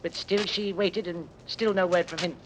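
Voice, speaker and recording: An actor playing a gossipy, bitter tailor who tells tales eagerly in a 1930s radio drama. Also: male voice, high voice, steady tone, normal speed, background noise, natural voice. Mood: indignant, gossipy.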